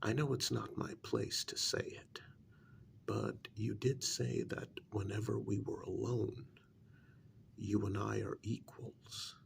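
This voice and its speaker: A man acts out a gentle, reasoning male confidant in a vampire ASMR role-play. The voice is soft and fairly low, full of creaky fry, and often sinks into a whisper. He speaks very slowly and tentatively, weighing each phrase. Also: level tone, heavily acted. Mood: tentative, earnest. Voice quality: soft, breathy voice dropping into whispers. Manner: hesitant, measured, gently reasoning.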